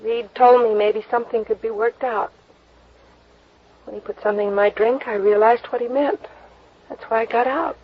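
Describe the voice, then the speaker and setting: An actress playing a vulnerable, pleading woman in a classic radio melodrama, with halting, emotional delivery. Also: a little high, fairly even intonation, husky. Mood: sorrowful. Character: anguished young woman in trouble, pleading and vulnerable. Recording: muffled old radio recording.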